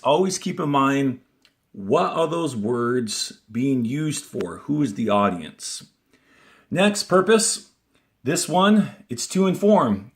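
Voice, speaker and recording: A male elementary teacher with a mid-pitched voice gives an instructional reading lesson. He explains things step by step and reads aloud clearly for children, pausing to stress the key words. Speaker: fourth grade teacher.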